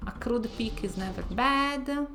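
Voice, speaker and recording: A woman's voice: on the high side, a little slow, bright, forceful tone, slight background noise. A woman streamer, not a native English speaker, chatting casually while playing and greeting viewers. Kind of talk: casual gameplay commentary.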